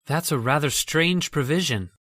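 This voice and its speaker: A woman's voice: sits low, moderate pace, a bit bright, breathy edge. A woman acting as a composed, matter-of-fact heiress in an old-time radio drama.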